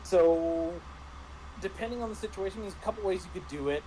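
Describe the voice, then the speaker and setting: A man in a casual panel discussion about roleplaying games, speaking haltingly as he thinks aloud. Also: high-pitched, dark tone, slight background noise, poor audio. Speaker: tabletop game master and podcast panelist.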